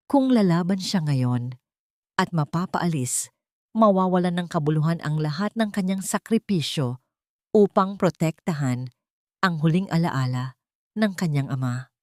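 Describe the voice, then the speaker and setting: A woman's voice: lowish voice, a little mellow, no echo, clean studio sound. A woman with a Filipino accent reads a scripted dramatic story as its narrator.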